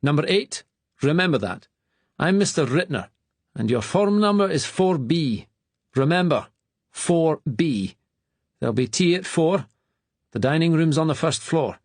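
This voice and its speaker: A man voicing a severe male schoolmaster with a Scottish accent and a sharp, barking way of talking. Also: on the high side, lively intonation, a little slow, pauses often, no echo, pitched above the performer's own voice. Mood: curt, commanding.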